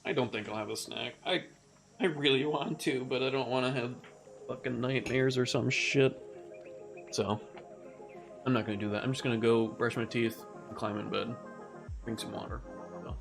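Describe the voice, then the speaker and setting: A man streaming a game from home, chatting casually with viewers as his voice grows raspy and rough. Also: mid-range voice, fairly even intonation, moderate pace, pauses often. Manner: laid-back, swears casually, talks to chat. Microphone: condenser studio mic. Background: game music.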